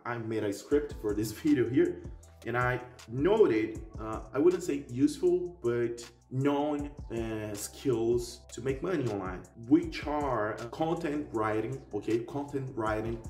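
A young man with a Portuguese accent explains things casually and emphatically to viewers, checking in as he goes. His pitch is average for a man. He sounds set back from the mic in an echoey living room, with music faintly behind him. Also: lively intonation, a little slow, darkish tone. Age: young adult. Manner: emphatic, often tags sentences with "okay?". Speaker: YouTube host. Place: living room at home, indoors. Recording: roomy echo, distant mic, faint music.

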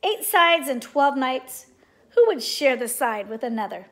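A middle-aged woman reading a children's storybook aloud in an expressive, deliberate way. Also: high-pitched. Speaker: storybook reader. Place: indoors, living room at home.

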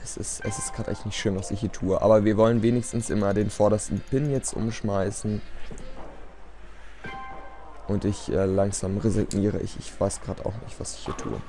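A man's voice: on the low side, fairly even intonation, moderate pace, dark tone. A man giving casual, self-deprecating gameplay commentary in a resigned, rambling way. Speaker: let's play commentator. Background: game music.

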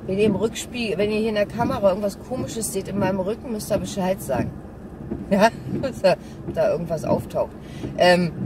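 A woman chatting casually and candidly to her viewers as she drives, in a rambling vlog style. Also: mid-range voice. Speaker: vlogger. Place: inside a car while driving. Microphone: camera mounted in front, at a distance. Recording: car cabin, loud driving noise, distant mic.

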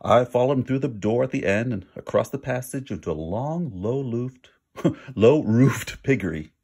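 Male storybook reader: very lively intonation, slightly raspy tone, conversational asides to the listener. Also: mid-range voice. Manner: pauses to chat with listeners and explain words. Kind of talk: animated read-aloud storytelling.

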